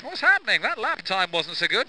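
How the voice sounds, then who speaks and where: Pit crew engineer on noisy radio, highish voice, direct, businesslike, racing game. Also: male voice, big pitch swings, fairly quick, sharp tone, exaggerated voice. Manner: direct, instructing. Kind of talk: scripted game radio messages.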